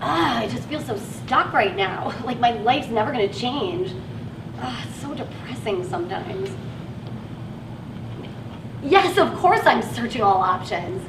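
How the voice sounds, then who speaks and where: A woman's voice: fairly high pitch, animated, a little slow, gravelly. An actress on stage playing a frustrated working woman who drives a van and feels stuck in her job. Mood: dejected. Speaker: actress in a one-act play. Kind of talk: live stage play acting. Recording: echoey, distant, noisy live stage recording.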